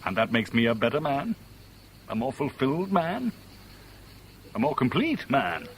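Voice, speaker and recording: A jilted middle-aged Englishman in a vintage radio play, articulate and self-mocking. His British voice moves widely in pitch, wounded and theatrical, over a faint hiss of old broadcast tape. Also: big pitch swings, a little slow. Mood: wry, self-mocking. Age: 40s. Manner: articulate, wry, theatrical, rhetorical. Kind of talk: 1970s British radio drama acting.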